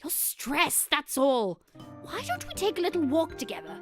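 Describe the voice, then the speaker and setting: A woman's voice: high-pitched, wildly swooping pitch, moderate pace, faint music behind, pitched above the performer's own voice. A woman voicing an earnest, anxious penguin agent in a game story, caring, apologetic and encouraging. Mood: earnest, concerned. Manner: stammering, encouraging.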